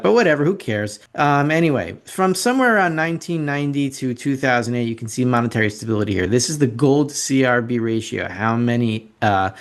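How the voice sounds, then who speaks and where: A man hosting an informal financial commentary over slides, opinionated and sardonic, often repeating phrases for emphasis. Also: mid-range voice. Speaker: financial commentator and show host.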